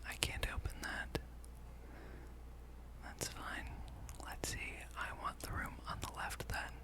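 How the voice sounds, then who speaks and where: Whispering ASMR gaming host, rambling softly. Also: takes it slowly. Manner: rambling, thinking aloud.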